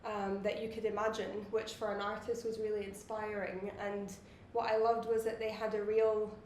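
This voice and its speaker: A woman's voice: medium pitch, level tone, moderate pace, a little mellow, echoey room, slight background noise. A Scottish woman in her thirties, a watch designer answering interview questions in long, thoughtful explanations. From Scotland.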